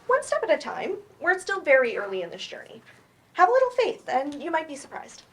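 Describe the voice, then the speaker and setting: Woman playing a therapist, high lively voice, earnest, reassuring, gently firm, stage play reading. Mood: encouraging, gently firm. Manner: reassuring, measured. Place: library event room, staged reading.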